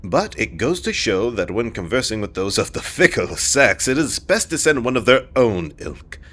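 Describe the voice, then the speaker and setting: A man's voice: mid-range voice, sing-song, moderate pace, fairly bright tone. A man playing a haughty, dismissive king in a fantasy audio drama, speaking in a pompous, formal, condescending way. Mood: disdainful.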